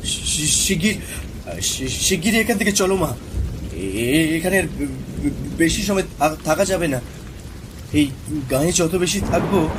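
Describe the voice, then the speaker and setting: A man's voice: a little high, pitched above the performer's own voice. A man voicing an anxious, stammering young villager. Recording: rain and thunder noise behind.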